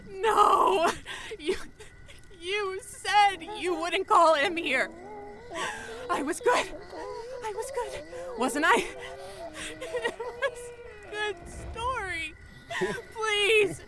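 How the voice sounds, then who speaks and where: Woman acting a slow-speaking, haunted young woman. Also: very high-pitched, a crowd in the background, full character voice. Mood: terrified, tearful. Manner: slow, haunted storytelling, breaking into pleading. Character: frightened young woman desperately telling a story to captors. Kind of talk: horror audio drama narration and acting.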